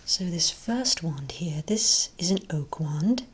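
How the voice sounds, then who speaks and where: A woman with a low, breathy voice performs a soft-spoken ASMR role play as a friendly keeper of a magic shop. She often drops close to a whisper and rambles gently with hesitant pauses. A faint noise sits behind her voice. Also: expressive. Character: friendly long-established magic wand shop owner.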